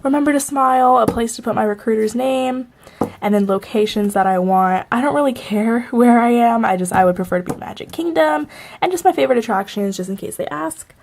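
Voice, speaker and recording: A woman's voice: a little high, a little fast, vocal fry, a little mellow, a little hiss or hum. A young woman vlogging in a casual, chatty way, laughing often and a little stuffy from a cold. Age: young adult. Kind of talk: casual chat to camera.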